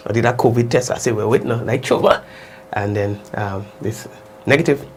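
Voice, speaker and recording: A man's voice: fairly low pitch, fairly quick, a little hiss or hum. A man hosting a live news show in a casual, upbeat way, his voice stuffy and congested. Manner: lively, upbeat, folksy asides.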